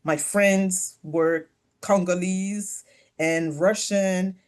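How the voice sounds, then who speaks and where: A woman from France whose first language is French, speaking as an interview guest over a video call from her office, telling her story in a measured, reflective way with soft laughs. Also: fairly low pitch, steady tone, clear, resonant tone. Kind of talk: conversational interview.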